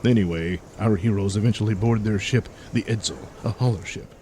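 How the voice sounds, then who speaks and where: A man's voice: on the low side, fairly quick, dark tone, slight background noise. A man narrating in a theatrical announcer manner, like a mock adventure serial.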